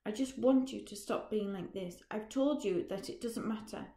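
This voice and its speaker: A woman's voice: mid-range voice, normal speed, mellow, lightly acted. A woman voicing a young boy who begs his older friend to stop and play football. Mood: pleading. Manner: pleading. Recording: roomy echo.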